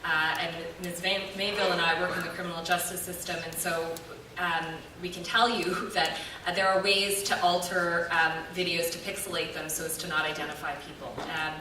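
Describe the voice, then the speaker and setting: A woman's voice: on the low side, steady tone, pushed and bright. Woman answering from a far, echoey hall. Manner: measured and careful, with frequent pauses. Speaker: member of a review team answering public questions. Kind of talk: formal answers at a public meeting. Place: large echoey meeting room. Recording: echoey hall audio from afar with noise.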